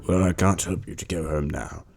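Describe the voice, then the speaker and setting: A gruff old hermit acted by a man in a radio drama, with a low, gravelly voice. He is blunt and scolding, and music plays behind him. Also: fairly even intonation, a little fast. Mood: questioning, stern.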